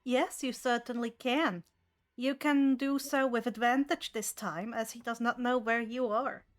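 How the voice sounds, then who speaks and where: A woman's voice: fairly high pitch, fairly even intonation, a little slow. A woman acting as game master, narrating and refereeing a tabletop role-playing game.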